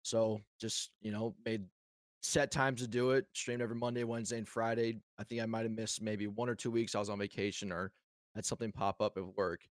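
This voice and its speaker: A man's voice: on the low side, flat delivery, fairly quick, no echo. A man who streams, chatting easily as a guest on a podcast. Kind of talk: casual interview chat.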